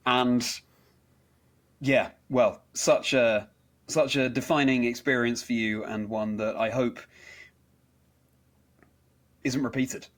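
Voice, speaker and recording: A man's voice: medium pitch, steady tone, slow pace, long pauses. A man hosting a conversational interview in an earnest, encouraging and effusive manner. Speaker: interview show host.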